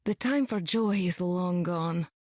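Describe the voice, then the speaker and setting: An actress playing a young, sardonic demon queen and war captain, deadpan and dry, in a video game. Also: fairly low pitch, takes it slowly, no room sound. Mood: cool, deadpan. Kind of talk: video game character acting.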